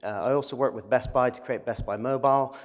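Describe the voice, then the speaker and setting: English-accented man pitching, reverberant hall. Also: fast talker. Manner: conversational with frequent uh fillers. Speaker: startup founder presenting. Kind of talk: business pitch presentation.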